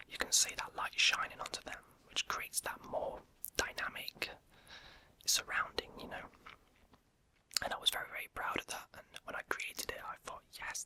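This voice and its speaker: A young adult creator answers viewer questions in a steady, fully unvoiced ASMR whisper. The whisper is very bright and crisp, with a sharp airy hiss. Talk meanders with frequent "um"s and quick self-corrections. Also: rough recording. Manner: hesitant and rambling, many "um"s, self-correcting.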